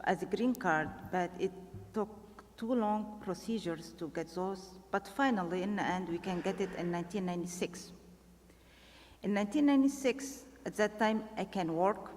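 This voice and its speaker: A middle-aged woman from Lebanon with a Lebanese Arabic accent, telling her story at a panel in halting, simple second-language English. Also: medium pitch, darkish tone. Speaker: panel speaker. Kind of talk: personal testimony at a panel. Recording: long hall reverb.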